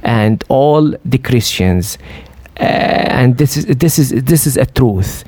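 A middle-aged man with a Syrian Arabic accent, telling his story as an interview guest, halting with many fillers and restarts. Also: mid-range voice, lively intonation, a little mellow, dry recording. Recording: dry studio.

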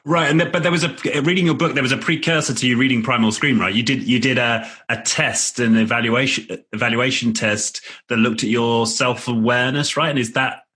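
Male interviewer, mid-range pitch, conversational, tentative, restarts and rephrases questions. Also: steady tone, brisk, hardly any pauses, bright, forceful tone.

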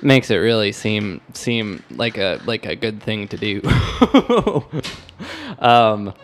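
Low-voiced man chatting, music behind. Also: sing-song, slow pace. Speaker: podcast co-host. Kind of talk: casual music discussion.

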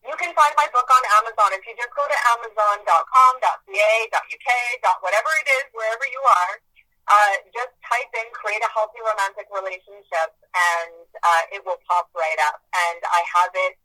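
A woman's voice: medium pitch, a little fast, pushed and bright, poor audio. A woman guest in a podcast interview, speaking earnestly and emphatically and repeating her key phrases. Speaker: guest, author and healer.

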